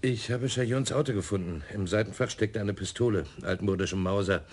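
A man plays a methodical detective with a very deep, husky voice. He speaks matter-of-factly, often half to himself. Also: brisk, a little mellow, close to an everyday voice. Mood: plain. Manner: matter-of-fact, muttering to himself. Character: methodical male police detective. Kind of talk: 1980s radio drama acting.

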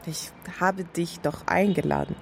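A woman's voice: on the low side, fairly even intonation, measured pace, gravelly, darkish tone, a little hiss or hum. An actress playing a mysterious, teasing young Syrian woman in a radio drama, cool and playfully inviting.

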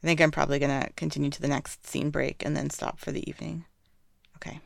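A slightly hoarse reader narrating a fan-fiction story aloud, with casual asides between passages. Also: mid-range voice, level tone, fast talker, no room sound, studio quality. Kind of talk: amateur audiobook reading.

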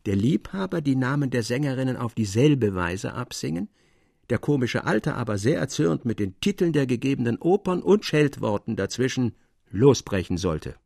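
A man's voice: mid-range voice, sing-song, moderate pace, vocal fry, a little mellow, no room sound. A man reading literary narration in a scripted radio style.

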